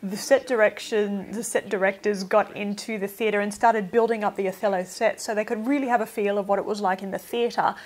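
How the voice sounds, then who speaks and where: A woman's voice: mid-range voice, fairly even intonation, fairly quick, hardly any pauses. A woman giving an informal, opinionated film review in a chatty, emphatic way.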